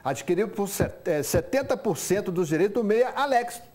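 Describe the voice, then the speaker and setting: Brisk high-voiced TV sports host. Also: male voice. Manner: lively, conversational, quick asides. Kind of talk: studio sports chat and live ad read. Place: TV studio.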